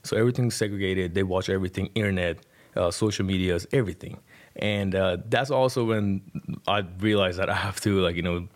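A man's voice: deep voice, brisk. A man in his twenties with an Iranian accent, telling his personal story in a colloquial, conversational way. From Iran. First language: Persian. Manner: colloquial, punctuated with "like" and "you know". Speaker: podcast guest, rapper.

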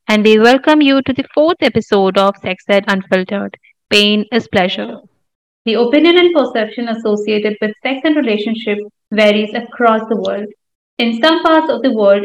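A woman's voice: medium pitch, roomy sound, rough recording. A woman with an Indian accent co-hosting a podcast in a scripted, presenting style. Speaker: podcast co-host.

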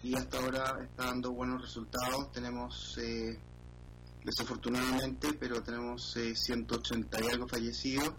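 A Chilean man answers a radio interviewer over a remote line, speaking evenly with little melody. He is measured and hesitant, full of small fillers, with a faint noise behind him. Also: medium pitch, level tone, fairly bright tone, low-quality recording. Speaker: guest, financial columnist.

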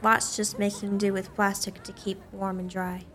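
A woman's voice: mid-range voice, little pitch movement, moderate pace, fairly bright tone, close to an everyday voice. A woman playing an earnest, inquisitive small-town newspaper reporter in a radio play, in a conversational style. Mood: friendly, probing.

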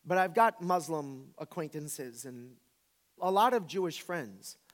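High-voiced man in his forties, preaching casually. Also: lively intonation, a little slow. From the American South. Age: 40s. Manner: informal, emphatic, repeats phrases for effect. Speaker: pastor. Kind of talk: conversational sermon.

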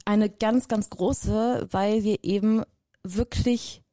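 A woman's voice: mid-range voice, steady tone, slow, dark tone, no room sound. A woman from Germany, a guest in an interview, talking casually and emphatically, often repeating words for stress. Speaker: guest, personnel developer.

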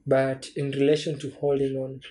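A young man in his twenties, a podcast guest talking through his thoughts in a relaxed, reflective way with frequent restarts. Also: medium pitch, normal speed. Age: 20s. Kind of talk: casual chat.

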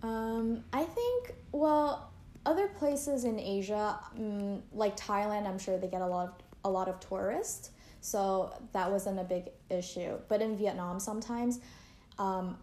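A young woman from Canada, chatting easily as an interviewee. She talks loosely and leans on fillers and likes. Her voice sits at an ordinary pitch, and the recording has a roomy echo. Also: measured pace, warm tone. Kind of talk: casual interview chat. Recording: echoey room.

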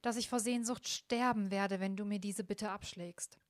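A woman's voice: mid-range voice, steady tone, moderate pace, no echo, clean studio sound, close to an everyday voice. A woman voicing a kind young woman in a storybook reading, earnest and heartfelt. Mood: pleading.

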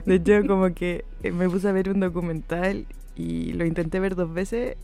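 A woman's voice: fairly low pitch, flat delivery, normal speed, vocal fry, dark tone, soft background music. A woman co-hosting a podcast in casual chat, giving short, laid-back replies full of slang. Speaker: podcast co-host.

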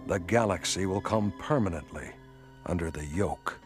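A man's voice: low voice, moderate pace, close to an everyday voice. A man narrating a space-saga radio drama in a grave, formal, scripted style. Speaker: narrator and credits announcer. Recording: radio broadcast over loud music.

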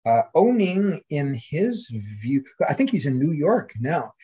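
A man's voice: on the high side, big pitch swings, measured pace, warm tone, breathy edge. A man speaking as a scholarly podcast guest, discursive and hesitant, on dull lo-fi audio. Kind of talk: scholarly conversation.

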